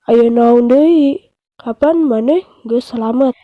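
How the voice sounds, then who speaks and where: A woman's voice: on the high side, slow, dry recording, muffled recording. A woman voicing a wary, blunt buffalo in a children's fable.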